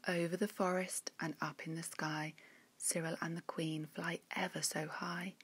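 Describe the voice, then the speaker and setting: Woman narrating a rhyming kids story, slow n gentle, lowish husky voice, expressive. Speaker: author reading her own story.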